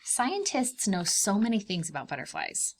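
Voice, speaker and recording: A woman's voice: medium pitch, moderate pace, poor audio. A woman hosting a read-aloud lesson for preschoolers, explaining things simply and expressively and putting questions to her young listeners. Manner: expressive, emphasizing key words for small children. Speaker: children's read-aloud host and preschool teacher.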